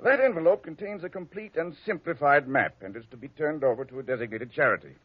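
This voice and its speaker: Old male lawyer, fussy, flustered, stammering, husky tone, lo-fi vintage radio sound. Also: fairly high pitch, expressive, fast. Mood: formal. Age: older. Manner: stammering, dithering, chuckles to himself. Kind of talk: 1940s radio acting. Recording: dull lo-fi old radio recording.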